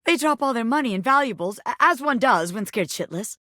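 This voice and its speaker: A woman voicing a cocky, bossy small-time gangster boss, a living pin in a video game, who talks tough but has a soft spot. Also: fairly high pitch, expressive, brisk, no echo, studio quality. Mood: scheming, cocky. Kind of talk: video game character voice acting.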